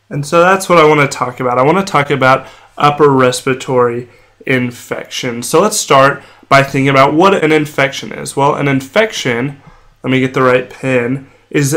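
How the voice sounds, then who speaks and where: A young man with a stuffy, nasal voice from a cold and a sore throat, talking through a medical lesson while he draws, slowing down to spell out terms. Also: mid-range voice, fairly bright tone. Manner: plain explanatory, slows on medical terms. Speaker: medical educator. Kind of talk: whiteboard lecture while drawing.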